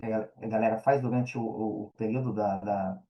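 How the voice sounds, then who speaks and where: A man's voice: fairly low pitch, steady tone, moderate pace, muffled recording. A Brazilian man speaking casually and earnestly as a podcast guest, in colloquial phrasing, often repeating phrases for emphasis. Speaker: podcast guest, affiliate marketer and mentor.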